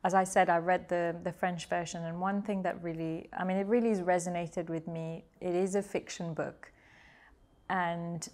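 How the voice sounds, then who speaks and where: A woman with a fairly low voice interviews an author, posing long thoughtful questions. She affirms her guest often and laughs softly along with her. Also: flat delivery, normal speed. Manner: encouraging, frequent affirmations and soft laughs. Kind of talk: conversational interview.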